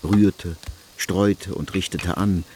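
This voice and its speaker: A man narrating a literary story from a script, in a measured, deliberate storytelling manner. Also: low-pitched, steady tone, a little mellow, slight background noise.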